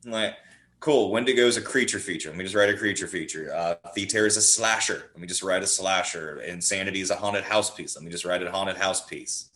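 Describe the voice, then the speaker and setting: Low-voiced man in a roomy space. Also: a little fast, pushed and bright. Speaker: podcast guest, horror playwright. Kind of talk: casual enthusiastic film chat. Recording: roomy, echoing sound.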